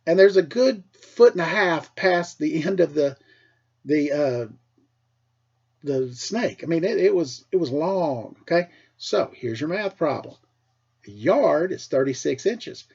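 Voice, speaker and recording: A middle-aged American man, a teacher with a husky voice, tells casual personal stories for children in an animated way, acting things out as he goes. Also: a little high, measured pace, lo-fi sound. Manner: animated, acts out dialogue and sound effects. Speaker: school teacher telling stories to pupils.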